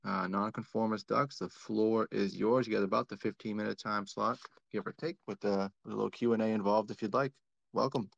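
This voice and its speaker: A man hosts a casual online audio chat in an upbeat, rambling way, full of fillers and restarts. His voice sits low and has a gravelly edge. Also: fairly even intonation, brisk, dull audio. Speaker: host.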